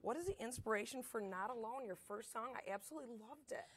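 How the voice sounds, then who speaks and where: A woman's voice: a little high, fast talker, breathy edge. A woman hosting an interview show, asking questions in a chatty way and reacting with exclamations. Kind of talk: casual interviewer.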